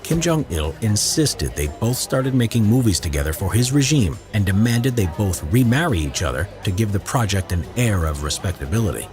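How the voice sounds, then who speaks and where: A man narrating a history documentary in a measured, expressive, scripted storytelling style. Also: lowish voice. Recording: narration with faint music bed.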